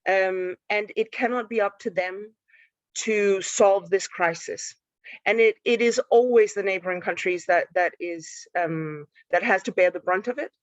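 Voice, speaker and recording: A woman from Denmark, speaking as a panelist in an online panel, thoughtful and halting with many restarts. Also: medium pitch, no echo, muffled recording. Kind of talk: panel talk on a live stream. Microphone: video call.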